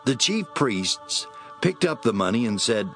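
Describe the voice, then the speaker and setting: A man's voice: medium pitch, sing-song, unhurried, fairly bright tone, soft background music. A man narrating scripture as a scripted dramatic reading.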